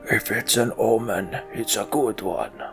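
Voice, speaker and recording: A man voicing a stern, decisive giant warrior leader in a fantasy game, with slow, weighty, commanding speech. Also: fairly low pitch, gravelly, a bit bright, soft background music. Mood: firm.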